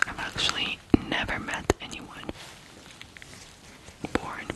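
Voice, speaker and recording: Slow, fully whispered ASMR storyteller from Ukraine. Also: rough recording. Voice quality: whispered, breathy. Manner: slow, deliberate phrasing with pauses. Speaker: ASMR true crime host.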